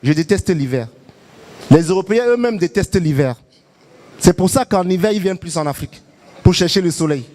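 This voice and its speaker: A man speaking as an activist on a panel, making emphatic, reflective points and slowing on key phrases. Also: high-pitched, animated, darkish tone, slight background noise. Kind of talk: public debate remarks.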